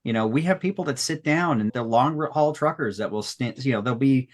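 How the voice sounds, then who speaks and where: A man's voice: medium pitch, a little fast. A man speaking earnestly and sentimentally as a podcast creator in an unscripted retrospective, often repeating phrases for emphasis. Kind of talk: heartfelt unscripted retrospective talk.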